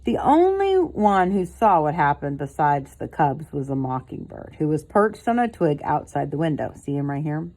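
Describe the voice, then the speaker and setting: A woman's voice: on the low side, low-quality recording. An older woman, a grandmother, reading a bedtime story expressively to a child and adding casual comments of her own. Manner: expressive, commenting as she reads.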